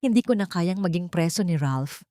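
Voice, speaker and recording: A frightened young woman full of guilt , acted by a woman narrator. She pleads in a trembling, hushed way. It is audiobook drama, recorded dry and without room sound. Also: fairly low pitch, lively intonation, moderate pace, dry recording, lightly acted. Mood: remorseful, resolved. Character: frightened, remorseful younger sister secretly helping a captive.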